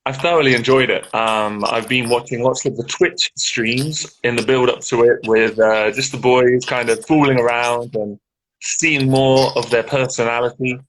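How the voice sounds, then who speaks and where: A man's voice: medium pitch, steady tone, normal speed, a bit bright. A man co-hosting a casual live-stream chat over a video call, easygoing and chatty, on slightly crackly audio. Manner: chatty, easygoing, British turns of phrase. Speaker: co-host.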